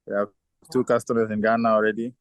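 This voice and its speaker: A man's voice: on the low side, flat delivery, quick pace, clean tone, dry recording. A man who is a chemical engineer and founder, answering interview questions thoughtfully with many restarts and fillers. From southern Africa.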